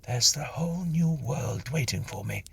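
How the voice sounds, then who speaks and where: A man's voice: medium pitch, lively intonation, moderate pace, gravelly, slightly rough, voice pushed up, full character voice. A man voicing an irritable, exasperated male ghost who complains in a refined, petulant way, in a dramatised audiobook story. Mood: eager.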